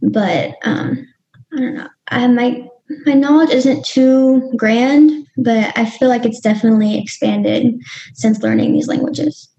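Young woman, podcast guest, hesitant, fry in the voice, slightly high. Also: measured pace, mellow, highs cut off. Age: young adult. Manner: hesitant, many ums and restarts. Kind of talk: casual interview talk.

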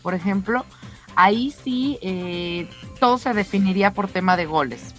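A woman's voice: mid-range voice, animated, moderate pace. Female radio co-host, Mexican accent, conversational sports news delivery, chatty, faint music behind. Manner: chatty, addresses co-hosts directly. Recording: music under the voice.

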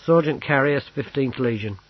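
A man voicing a practical, patient army sergeant in an audiobook story, wary and deliberate. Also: mid-range voice, breathy edge, muffled recording, lightly acted. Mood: formal, patient.

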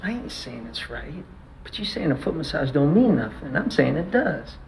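An actor playing a streetwise gangster in a film, arguing a point in casual, profane banter over some background ambience. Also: male voice, a little high, big pitch swings, moderate pace, slight background noise. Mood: insistent, casual.

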